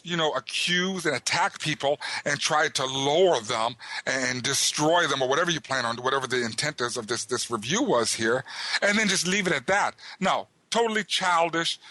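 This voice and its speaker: A man's voice: on the high side, bright, forceful tone, no echo. A man in his fifties with a Southern American accent, co-hosting a tabletop gaming podcast and ranting indignantly, repeating words as he goes. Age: 50s. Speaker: podcast co-host. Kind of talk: casual podcast discussion.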